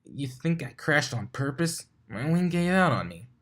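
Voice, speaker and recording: A man's voice: fairly high pitch, sing-song, a little slow, fairly bright tone, pitched above the performer's own voice, heavily acted. A man voicing a brash young female pegasus with an offhand, defensive manner in a cartoon fan story.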